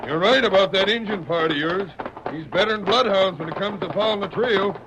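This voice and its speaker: Man acting a high-voiced folksy Western sheriff. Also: over music, natural voice. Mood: skeptical, grumbling. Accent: American Western drawl. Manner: rustic, exclaiming, drawn-out grumbling. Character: folksy, grumbling old-West sheriff, skeptical but game. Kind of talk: 1930s-40s radio western acting. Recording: dull, hissy old radio broadcast.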